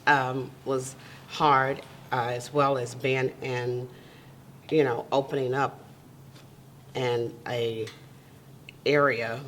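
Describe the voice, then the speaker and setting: A woman's voice: a bass voice, little pitch movement, slow pace, long pauses, a bit bright, a little hiss or hum. A woman guest who owns a cake business, telling her story in a candid, earnest way during a casual interview.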